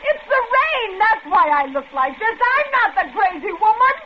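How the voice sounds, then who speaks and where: Muffled old radio with loud noise, desperate stranded woman, very high voice, breathless urgent pleading, vintage drama. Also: big pitch swings, a little slow, heavily acted. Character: frantic stranded woman pleading for shelter in a storm.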